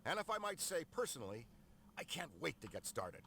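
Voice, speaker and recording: An eager, nerdy paranormal scientist, pitched a bit high and bouncing all over with enthusiasm. He is wordy, technical and proud of his expertise. Faint park ambience sits behind him. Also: big pitch swings, brisk, sharp tone, exaggerated voice. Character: eager, nerdy male paranormal scientist, earnest and self-important. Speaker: game character, ghost hunter. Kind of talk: scripted game voice acting. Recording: game dialogue over park ambience noise.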